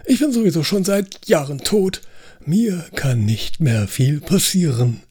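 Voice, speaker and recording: A man's voice: on the high side, measured pace, husky, voice pushed up. Man doing a languid drawling film ghost. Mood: relaxed. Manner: relaxed, drawling.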